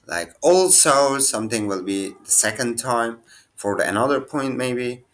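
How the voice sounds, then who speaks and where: Slow, halting man teaching a lesson. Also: mid-range voice, lively intonation, fairly bright tone. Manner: halting, thinks aloud, restarts and fillers. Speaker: language teacher. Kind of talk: casual screen-share lesson.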